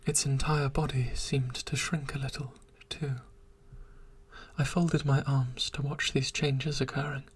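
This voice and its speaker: A narrator reads a dark tale almost entirely in a whisper, steady and unhurried. The voice is very breathy and airy at a middle pitch. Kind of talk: whispered story reading.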